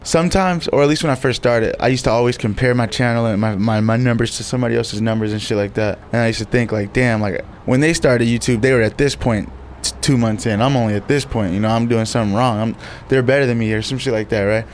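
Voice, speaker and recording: Creator guest, man, quick and slangy, profane. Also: mid-range voice, a little hiss or hum. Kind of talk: casual interview.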